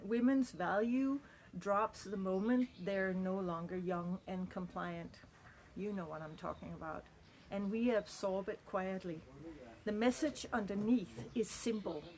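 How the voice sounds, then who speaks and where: A woman's voice: medium pitch, a little mellow, a little hiss or hum. A middle-aged woman from Denmark, speaking in a candid, earnest and reflective personal monologue. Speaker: vlogger.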